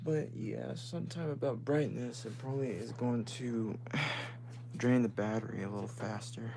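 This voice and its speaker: A man with a deep voice, chatting casually and haltingly on a live stream from home, with frequent restarts. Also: creaky. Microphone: phone. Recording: noisy phone stream with hum.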